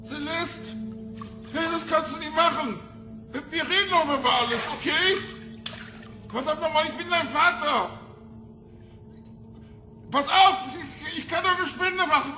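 Desperate pleading father, very high strained pitch, echoing space, music behind, radio crime drama. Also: measured pace, long pauses, sharp tone, heavily acted.

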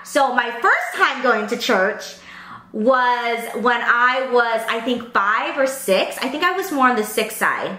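Bright-voiced young woman, chatty. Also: on the high side, lively intonation, a little slow, echoey room, rough recording. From Pennsylvania, US. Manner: chatty storytelling with many fillers. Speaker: mukbang host. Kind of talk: casual chat while eating. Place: bright room indoors at a table.

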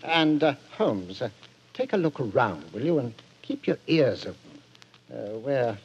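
An actor playing a brisk, hearty British army general in a 1940s radio drama. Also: male voice, mid-range voice, sing-song, husky, heavily acted. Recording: dull lo-fi 1940s radio recording.

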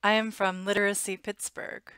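An American woman in her thirties reading a children's picture book aloud in an expressive, deliberate way, on a headset mic in a small room at home. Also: medium pitch, smooth, dry recording, studio quality. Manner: expressive, deliberate, simple storytelling for young children. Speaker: storytime reader.

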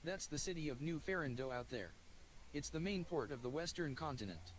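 Tts guy reading a story, kinda high for a man, flat robotic delivery, slight hiss behind. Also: a little fast, low-quality recording. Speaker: text-to-speech reader. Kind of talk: first-person story narration.

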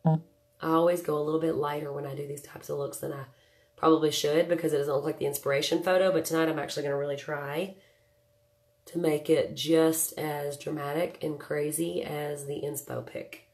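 Brief: thirties woman, lilt, low and stuffy-nosed from a cold, chatty webcam host. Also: little pitch movement, a little fast, darkish tone. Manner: chatty, joking, greets viewers by name. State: nasal voice from a cold. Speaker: makeup livestream host. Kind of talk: casual live makeup tutorial chat. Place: small home room indoors.

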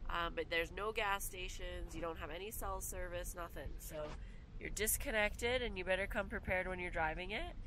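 A woman's voice: on the low side, normal speed, pushed and bright, a little hiss or hum, low-quality recording. A woman in her thirties from Alberta, Canada, chatting casually with lots of fillers in a travel vlog, filmed on a camera held at arm's length inside a truck. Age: 30s. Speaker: vlogger.

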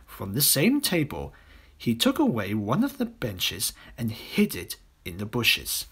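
Children's audiobook reader: man, slow and expressive, swooping intonation, theatrical. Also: mid-range voice, breathy edge. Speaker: audiobook narrator. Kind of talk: dramatic children's story narration.

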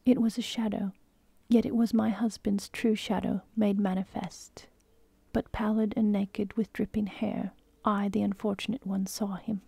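A woman's voice: medium pitch, flat delivery, vocal fry, slightly rough, dry recording. Grief-stricken wife, whispered by a woman. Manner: soft, slow unvoiced whisper. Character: grieving young wife, desperate and devoted. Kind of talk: whispered storytelling.

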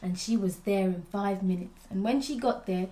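A woman's voice: medium pitch, normal speed, warm tone, poor audio. A young woman storyteller narrating a puppet show for children. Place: small plain room indoors.

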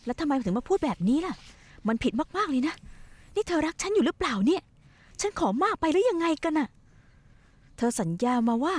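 A woman's voice: extremely high pitch, lively intonation, a little fast, long pauses, no echo. A woman dubbing a scheming young wife in a television drama, who talks in a coaxing, pushy and manipulative way. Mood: insistent. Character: scheming young wife plotting to run away. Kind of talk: dubbed television drama acting.

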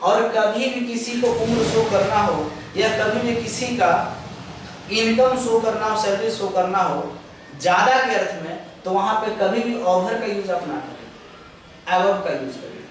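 A young man teaching a grammar lesson at a chalkboard, explaining step by step, drawing out example sentences slowly, and answering his own questions. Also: sits high, distant mic, noisy background. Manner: mixes English phrases into Hindi explanations; asks questions and answers them himself. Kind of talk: classroom grammar lecture at a chalkboard. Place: classroom with a chalkboard. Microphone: far camera. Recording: echoey room recorded from afar.

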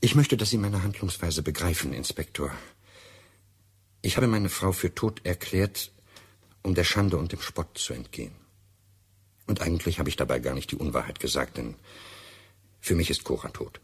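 An actor playing a courteous but bitter betrayed doctor in a radio drama, gravelly, formal and emphatic. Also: male voice, low voice, animated, normal speed, long pauses, heavily acted. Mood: cold, insistent. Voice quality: gravelly, husky. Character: courteous, bitter, self-righteous American doctor, betrayed husband. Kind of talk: German radio drama acting.

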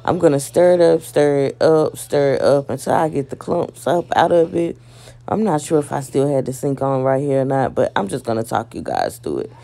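A woman casually talking viewers through cooking in her home kitchen, in a relaxed, chatty way. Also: rumbling low, normal speed, creaky. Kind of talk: casual cooking vlog, voice-over narration.

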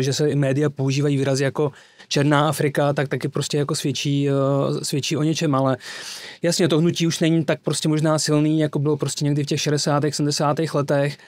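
A man's voice: a little high, darkish tone, no echo. A man speaking as an expert guest in a studio panel discussion, fluent but full of fillers. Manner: fluent, with frequent filler words. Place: small studio with wooden table. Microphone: desk mic on boom arm.

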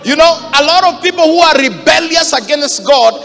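A man in his thirties with a Kenyan accent, preaching a fiery sermon into a handheld mic in a church hall, shouting for emphasis. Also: very high voice, lively intonation, moderate pace, vocal fry, soft background music. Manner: fiery, shouting emphasis, mixes Swahili quotes.

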